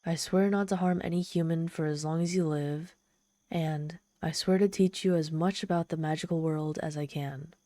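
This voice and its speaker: Woman acting as ancient tsundere demoness, low-pitched, soft dark tone, haughty, teasing, lonely underneath, dry close ASMR roleplay. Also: steady tone, moderate pace, dry recording, lightly acted. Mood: earnest, eager. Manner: haughty, theatrical, softening into shy vulnerability. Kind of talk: ASMR audio roleplay, addressing the listener.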